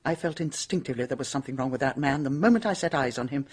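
Radio drama crime novelist: middle-aged woman, emphatic, opinionated, lively intonation, slightly husky. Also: very deep voice, very fast, full character voice. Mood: incredulous, certain. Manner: emphatic, self-assured.

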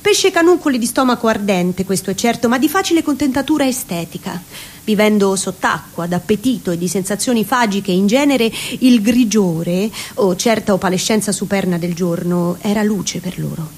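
A woman giving a dramatic literary reading, deliberate and theatrical, savouring ornate prose. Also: medium pitch, expressive, darkish tone, slightly rough. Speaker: reciting actress in radio theatre.

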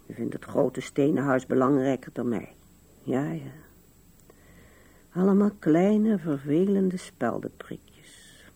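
A woman's voice: low voice, darkish tone, full character voice. An older actress playing an old widow in a radio drama, musing to herself with resignation at her husband's grave. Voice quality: husky, creaky. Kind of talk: 1970s radio drama monologue.

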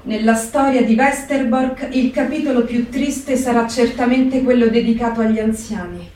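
A woman's voice: fairly high pitch, mellow, lightly acted. Actress as a solemn woman diarist, very echoey. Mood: sombre. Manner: measured, deliberate phrasing with dramatic pauses. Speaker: actress in a staged reading. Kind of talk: solemn theatrical reading of diary and letters. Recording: very echoey hall, distant microphone.

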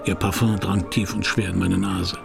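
An actor playing a wry, probing private detective who narrates and questions in a German radio crime drama, dry and laconic, with a gravelly voice. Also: male voice, sits low, flat delivery, moderate pace, music in the background. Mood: narrating, wry. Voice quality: gravelly, creaky.

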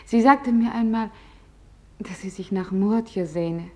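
An actress playing a young office worker and confidante, giving simple, intimate testimony in a documentary radio drama. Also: female voice, mid-range voice, takes it slowly, warm tone, lightly acted. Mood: tender, remembering.

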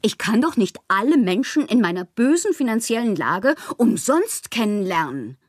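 Husky, breathy bohemian woman writer. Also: on the high side, sing-song, normal speed, no echo, clean studio sound. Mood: whimsical, anxious. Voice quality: husky, breathy, often dropping to a whisper. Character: lonely, whimsical bohemian woman writer, sharing letters. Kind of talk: literary radio monologue.

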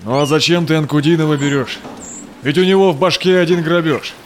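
A man's voice: fairly high pitch, brisk, a little hiss or hum, natural voice. An actor playing a resolute, loyal Cossack explorer in a verse audio drama, declaiming his rhymed lines. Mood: earnest, urgent. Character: Semyon Dezhnev, resolute loyal Cossack explorer, devoted husband.

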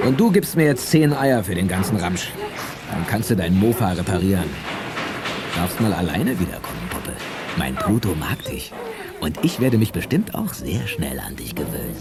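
Leering, wildly swooping scrapyard crook. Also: male voice, deep voice, big pitch swings, moderate pace, loud music behind the voice. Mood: taunting. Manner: smarmy, taunting, condescending. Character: leering, menacing scrapyard crook with a guard dog. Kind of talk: 1980s German youth radio play acting. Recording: very noisy old audio drama recording.

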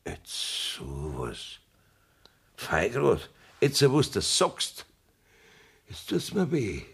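Gruff Bavarian village judge: husky, evasive grumbler, widely swinging pitch, radio drama. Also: male voice, wildly swooping pitch, drawn-out words, full character voice. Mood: defensive, uneasy. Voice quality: gravelly, husky. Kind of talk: dialect radio play acting, 1970s.

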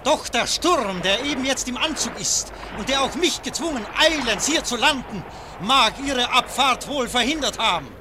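A man's voice: very high-pitched, animated, normal speed, noisy background. An actor playing a man who hurriedly brings bad news in a hasty, reporting manner. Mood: urgent. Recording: storm sound effects behind.

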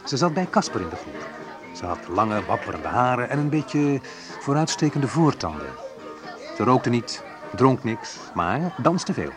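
A man's voice: mid-range voice, sing-song, a little slow, over music. An actor playing a wry, sensitive young man who recalls his student love life in an intimate first-person radio play monologue. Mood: wry, reminiscing.

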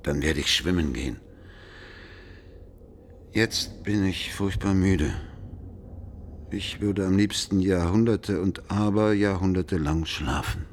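A man's voice: deep voice, slow pace, long pauses, a little hiss or hum. An actor playing a weary old emperor, a father longing for sleep, in a radio play. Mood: exhausted, drowsy.

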